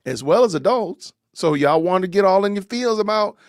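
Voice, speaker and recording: A man hosts a casual live-stream podcast. His voice sits a bit high and dry, recorded close with no room sound. He builds into animated, preachy rants with plenty of emphasis. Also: moderate pace, no room sound. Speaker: podcast host.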